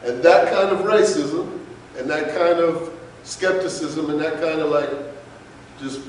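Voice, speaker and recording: A man lecturing with passion and emphasis, his voice pitched a little high for a man. He is picked up from far off, and the sound rings through a large, echoey hall. A faint hiss sits under it. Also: measured pace. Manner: impassioned, emphatic, asks rhetorical questions. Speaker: guest speaker. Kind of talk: lecture to an audience.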